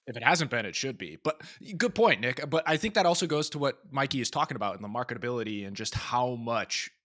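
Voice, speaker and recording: A man co-hosting a sports podcast who gives his opinions in a measured way, hedging with fillers. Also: medium pitch, animated, a bit bright, dry recording, clean studio sound. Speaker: podcast co-host. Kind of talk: casual sports podcast chat.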